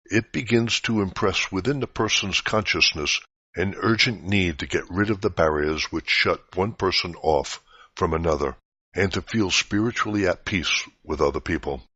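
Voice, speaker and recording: A man reading a scripted spiritual teaching aloud in a measured, didactic way. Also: sits low, sharp tone. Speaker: reader of spiritual articles. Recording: dull, roomy lo-fi recording.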